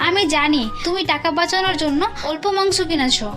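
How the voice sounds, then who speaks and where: Cartoon daughter, played by a woman: stubborn, whiny student, very high bright voice, music underneath. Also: fast. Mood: demanding, sulky. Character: stubborn, demanding college-age daughter obsessed with food. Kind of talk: animated moral-tale cartoon dialogue. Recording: music behind the voice.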